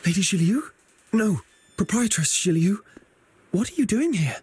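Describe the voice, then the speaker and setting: Breathy young male fugitive. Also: high voice, animated, moderate pace, a little mellow, heavily acted. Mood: surprised. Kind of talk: scripted video game acting.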